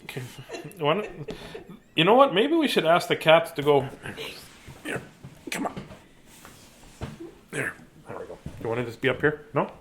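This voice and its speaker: High-pitched man rambling casually. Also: huge pitch swings, moderate pace, long pauses, a bit bright, slight background noise. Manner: rambling, chatty, self-deprecating. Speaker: bedtime story reader and host. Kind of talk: casual live chat to viewers.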